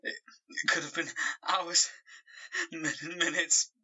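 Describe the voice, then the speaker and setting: An actor playing a traumatised man in an audio drama, recounting his ordeal in a halting, haunted monologue. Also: fairly high pitch, little pitch movement, pushed and bright, husky, exaggerated voice. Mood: anguished, shaken. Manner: slow, halting, haunted delivery with repeated words. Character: traumatised man reliving a savage dog attack.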